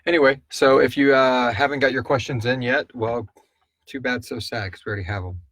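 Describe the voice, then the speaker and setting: A man with a mid-pitched voice hosts a live question-and-answer stream. He talks informally and rambles a little, addressing viewers like friends. The recording is dry, with very little room sound. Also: fairly even intonation, a little fast, a bit bright, no room sound. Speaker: podcast host answering listener questions. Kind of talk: casual live-stream chat.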